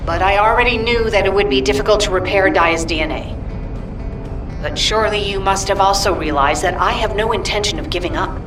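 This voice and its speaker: A woman's voice: medium pitch, fairly quick, close to an everyday voice. Echoey, determined warrior mother played by a woman. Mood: resolute, sorrowful. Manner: grave, resolute. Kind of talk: video game voice acting. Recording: echoey, distant, music underneath.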